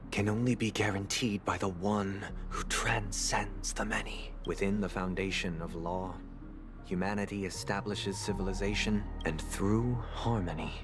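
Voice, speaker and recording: A man playing a composed, solemn idealist leader in a video game, speaking in a measured, formal, oratorical way. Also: low-pitched, steady tone. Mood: solemn conviction. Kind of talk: dramatic video game voice acting. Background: background music.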